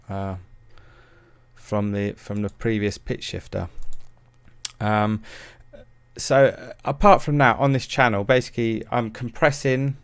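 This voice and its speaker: A man with a fairly low, clean and resonant voice, recorded dry and close. He talks through a software tutorial casually, rambling and hesitating as he searches for words. His manner is informal and self-deprecating. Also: smooth, dry recording. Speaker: music production tutorial presenter.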